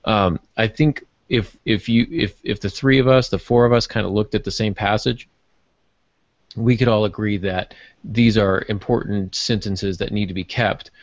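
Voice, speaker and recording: Dry, muffled, low-voiced man co-hosting. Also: fairly even intonation, normal speed, no room sound. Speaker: podcast co-host and writer. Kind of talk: casual podcast discussion.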